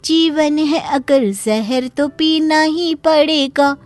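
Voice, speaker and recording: A lazy, self-absorbed young daughter played by a woman in a cartoon story, high in pitch. She drawls in a sulky, whiny way, recorded dry. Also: slow, no room sound, soft background music. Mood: languid. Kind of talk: Hindi cartoon moral story acting.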